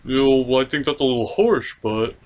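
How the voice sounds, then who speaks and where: A man's voice: mid-range voice, normal speed, close to an everyday voice. A man voicing a meek, flustered, self-deprecating frog puppet in a comedy review show. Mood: meek, resigned.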